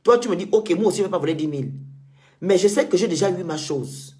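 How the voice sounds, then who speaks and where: A man's voice: high voice, expressive, fast, dark tone. A man hosting a call-in debate, talking in an insistent way and repeating words as he makes his points. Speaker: show host.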